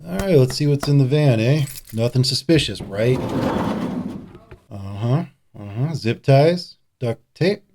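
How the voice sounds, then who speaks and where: An actor playing a hard-boiled, sardonic police detective in a horror audio drama. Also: male voice, mid-range voice, lively intonation, a little slow, a little mellow. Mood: sardonic, commanding. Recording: clear background noise and clicks.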